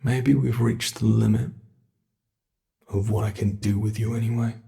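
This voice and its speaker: A man playing a sadistic monster from under the bed, toying with human prey in a horror ASMR roleplay, slow, taunting and theatrical, with a creaky voice. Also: medium pitch, darkish tone. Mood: bored, menacing.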